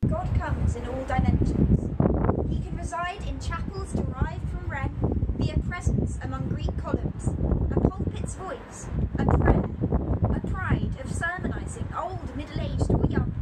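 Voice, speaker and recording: Slow young woman reading in loud wind. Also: high-pitched. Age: young adult. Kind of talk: poetry reading. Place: outdoors on a balcony by a windy beach. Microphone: camera a step or two away. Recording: distant mic, loud wind noise.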